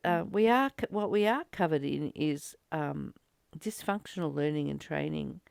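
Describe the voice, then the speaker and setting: A woman with a clear, smooth voice and mid-range pitch leads a casual spiritual discussion. She rambles in a conversational way, often searching for the right word. The sound is dry, with very little room. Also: no echo. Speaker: psychic healer leading a spiritual book study. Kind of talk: casual spiritual discussion with some read-aloud passages.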